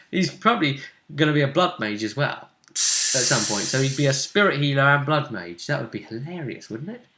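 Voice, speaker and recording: A man casually talking through a tabletop role-playing game as game master, often pausing and thinking aloud in broken phrases. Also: medium pitch, very animated, background noise. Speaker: game master, host of a role-play vidcast. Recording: roomy, echoing room sound.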